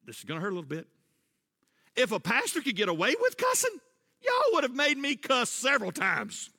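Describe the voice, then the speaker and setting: A middle-aged man preaching a sermon, rising into loud emphatic refrains and dropping back to a quieter tone. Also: extremely high pitch, huge pitch swings, brisk. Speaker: pastor. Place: church sanctuary. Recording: long church reverb.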